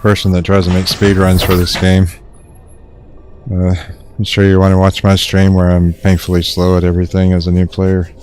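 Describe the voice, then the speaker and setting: A young man casually commenting while he streams a survival game, in a low-key monotone, rambling with fillers and restarts. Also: normal speed, faint music behind.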